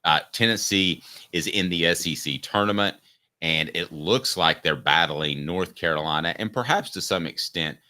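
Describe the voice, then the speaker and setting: A man's voice: low voice, pushed and bright. A man in his fifties hosting a sports talk show, chatty and jokey, asking long questions of his co-host. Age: 50s.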